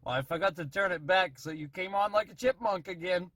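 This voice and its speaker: A man's voice: high-pitched, brisk, fairly bright tone, dry recording. A man giving a casual radio-testing demonstration as a hobbyist, talking in check-ins. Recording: noisy CB radio transmission at times.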